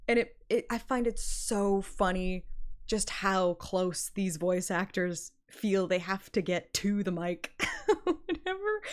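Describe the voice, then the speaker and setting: A woman live-streaming a game, playful and expressive, switching between casual reactions and reading dialogue aloud. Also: mid-range voice. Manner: playful, expressive, swears freely. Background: game music.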